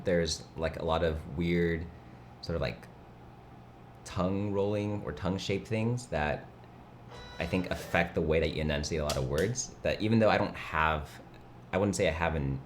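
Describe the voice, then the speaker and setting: Very deep, fry-laden, nasal man. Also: slight background noise. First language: Mandarin. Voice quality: vocal fry. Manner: laid-back, rambling, reads chat aloud. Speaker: livestream host. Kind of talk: casual chat.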